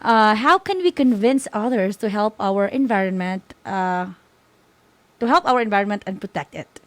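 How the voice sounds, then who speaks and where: A woman's voice: mid-range voice, animated, normal speed, no room sound. A woman with a Filipino accent co-hosting an educational discussion and relaying questions and reminders to the audience.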